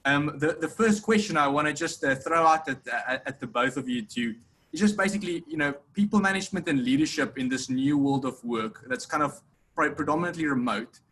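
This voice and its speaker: A man's voice: on the high side, a little fast, fairly bright tone. A man moderating an online conference panel, upbeat and full of restarts as he speaks, on a video call.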